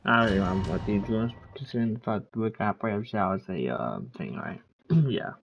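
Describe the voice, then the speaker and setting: A man giving casual, loose gameplay commentary in a rambling, halting way. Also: on the low side, animated, gravelly, lo-fi sound, faint music behind.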